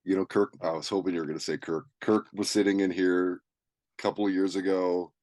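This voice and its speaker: A man with a deep voice hosts a casual sports podcast. He is laid-back and agreeable, talking in slang, and the sound is dry with no room echo. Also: flat delivery, a little fast, a little mellow. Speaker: podcast host.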